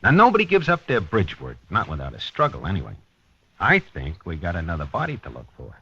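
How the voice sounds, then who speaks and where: An American actor playing a dogged, weary police lieutenant in a 1950s radio drama, with a clipped, hard-boiled delivery. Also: male voice, sits low, huge pitch swings, fairly quick, a bit bright, breathy edge, dry recording, heavily acted. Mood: keen, wry. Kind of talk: 1950s radio drama acting and first-person narration. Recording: dull vintage 1950s radio recording.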